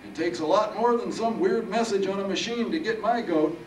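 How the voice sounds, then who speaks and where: A man voicing a stubborn, wisecracking old military veteran in a storybook reading, with folksy, gruff talk. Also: sits high, a little fast, darkish tone, pitched above the performer's own voice, lightly acted. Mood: defiant, teasing. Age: older. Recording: roomy, distant mic, faint noise.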